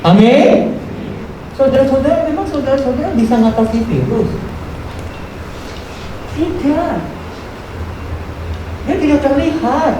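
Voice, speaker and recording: Casting: woman preacher in her 70s, higher-pitched voice, exhorting a congregation with repeated phrases, in a very reverberant room. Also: animated, unhurried, long pauses. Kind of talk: sermon to a congregation. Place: large echoey room at a live church service. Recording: very echoey, distant, noisy live church recording.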